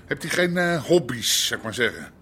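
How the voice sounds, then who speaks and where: An actor playing a blustering, evasive underworld boss in a Dutch radio drama, coarse and given to swearing. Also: male voice, medium pitch, big pitch swings, normal speed, pushed and bright, a little hiss or hum. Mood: irritated, pressing.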